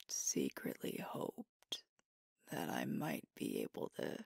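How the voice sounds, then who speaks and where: A tender werewolf girlfriend, acted by a woman, shares nostalgic stories in a breathy whisper for an ASMR roleplay. The delivery is slow and intimate, crackling with fry, and it sounds close and dry. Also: a bass voice, no echo, exaggerated voice. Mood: wistful. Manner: gentle, unhurried, intimate storytelling.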